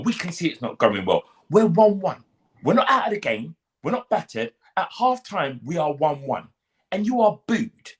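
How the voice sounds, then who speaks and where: Emphatic man with a lively voice. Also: mid-range voice. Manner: emphatic, rallying, speech-like. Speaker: fan guest. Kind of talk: casual podcast chat.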